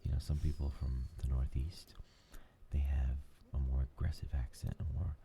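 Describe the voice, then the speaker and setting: Brief: ASMR gaming host, dark low voice, gravelly, soft rambling whisper. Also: male voice, flat delivery, normal speed, a little hiss or hum. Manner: rambling, soft, many fillers.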